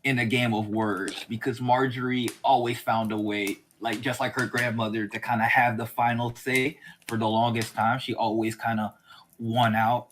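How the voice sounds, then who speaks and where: Male host, mid-pitched, casual chat, informal, rambling delivery, faintly noisy recording. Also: little pitch movement, moderate pace, a bit bright. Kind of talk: casual chat about a TV series.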